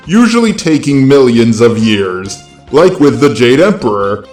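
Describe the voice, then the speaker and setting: A man's voice: medium pitch, roomy sound. Synthetic robot male narrator over music. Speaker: video host and narrator. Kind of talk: scripted narration. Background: background music.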